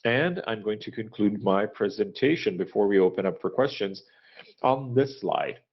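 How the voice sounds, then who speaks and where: A man giving a corporate earnings presentation in a halting, measured business manner, pausing often to hesitate. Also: lowish voice, animated, husky. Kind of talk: corporate earnings call presentation and question answering, unscripted. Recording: dull, lo-fi conference call audio.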